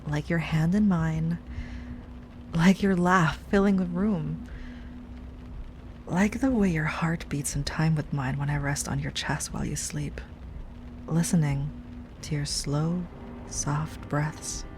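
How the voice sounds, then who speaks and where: A woman playing an obsessive, lovesick stalker ex-girlfriend in an intimate audio roleplay monologue, sweet yet menacing, with a breathy delivery that drifts into whispers. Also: sits low, fairly even intonation, slow, long pauses, a little mellow, a little hiss or hum. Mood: tender, possessive. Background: rain and music.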